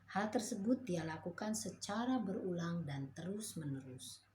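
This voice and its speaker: Female storyteller reading a kids' fable, clear and slow, faint hiss behind. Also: lowish voice, expressive, darkish tone. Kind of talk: reading a children's fairy tale.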